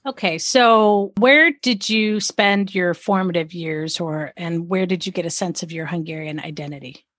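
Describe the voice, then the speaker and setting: A woman's voice: on the low side, normal speed, a bit bright, dry recording, clean studio sound. A woman hosting an interview, friendly and enthusiastic, with short affirming reactions. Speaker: interview host.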